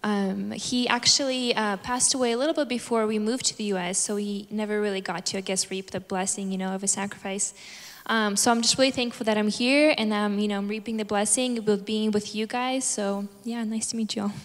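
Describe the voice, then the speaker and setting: A young woman from Russia, a church pianist, introduces herself to a congregation on stage with a handheld mic, earnest and soft-spoken with many ums. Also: mid-range voice, fairly even intonation, a little fast. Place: church stage before an audience. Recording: long hall reverb.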